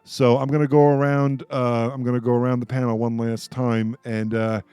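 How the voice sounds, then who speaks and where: A man's voice: medium pitch, level tone, moderate pace, warm tone, smooth, no room sound. A man hosting a casual panel discussion on the radio. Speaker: radio show host. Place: radio studio.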